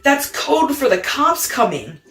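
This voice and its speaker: A woman's voice: high-pitched, sing-song, unhurried, a bit bright, roomy sound, far from the mic, soft background music, voice pushed up, exaggerated voice. A woman voicing a bold, proud and playful young transgender woman in a storybook, with a sassy, exclamatory manner. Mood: alarmed.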